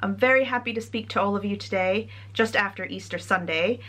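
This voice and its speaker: A young woman with a Connecticut American accent, a trainee priest giving a measured, earnest sermon. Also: mid-range voice, fairly bright tone. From United States.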